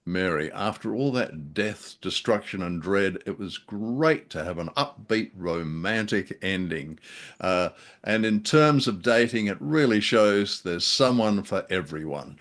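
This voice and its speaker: A man co-hosting a casual review chat, speaking in a dry, measured and somewhat hesitant way. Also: lowish voice, animated, a bit bright. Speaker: podcast co-host.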